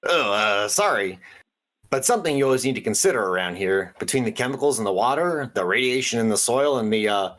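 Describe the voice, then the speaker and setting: An actor playing an earnest small-town radio host who speaks in a conspiratorial, hesitant way with dry asides. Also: male voice, mid-range voice, animated, fairly quick, a bit bright, close to an everyday voice. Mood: uneasy. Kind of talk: audio drama radio call-in hosting.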